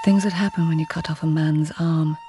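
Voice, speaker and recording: An actress playing a cool, sharp-minded lady-in-waiting and poet in a radio drama, husky, precise and detached. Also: sits low, fairly even intonation, fairly quick, music in the background, lightly acted. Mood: detached, haunted. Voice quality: husky, creaky. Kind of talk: radio drama acting and literary narration.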